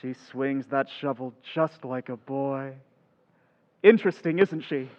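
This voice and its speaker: A man playing a restless, excitable teenage boy in an old-time radio play, boyish and full of exclamation. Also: mid-range voice, a little slow, natural voice. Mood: excited. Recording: echoey hall, dull sound.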